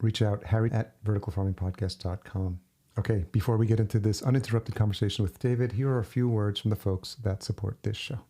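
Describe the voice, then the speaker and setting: A man's voice: on the low side, steady tone, quick pace, mellow. A man hosting a podcast, who chats in a friendly way and laughs easily as he introduces guests. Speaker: podcast host.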